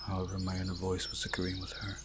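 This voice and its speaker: A man's voice: deep voice, monotone, fast talker, noisy background. A man reading a visual novel story aloud as narration, sometimes going back over a phrase. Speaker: visual novel player narrating and voicing the game's text.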